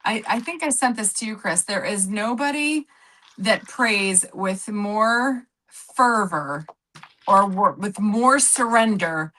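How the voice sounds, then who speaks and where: A woman's voice: medium pitch, slow, pushed and bright, rough recording. A woman in her fifties, co-hosting a craft live stream in casual, chatty talk full of exclamations and restarts. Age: 50s.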